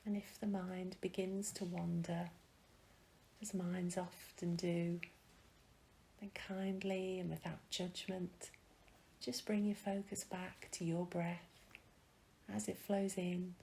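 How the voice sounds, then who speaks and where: Woman, meditation guide, very unhurried, soft and breathy, gravelly creak, soothing pauses. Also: lowish voice, flat delivery, slight background noise, low-quality recording. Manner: soft, breathy, soothing, gently pausing delivery.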